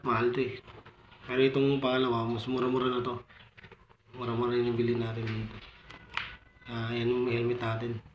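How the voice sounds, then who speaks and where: Flat-toned Filipino man, echoey noisy recording. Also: medium pitch, moderate pace, long pauses, lo-fi sound. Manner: repeatedly addresses viewers as buddies, informal. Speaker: vlogger reviewing motorcycle gear. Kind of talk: casual vlog product walkthrough. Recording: echoey room with clear background noise.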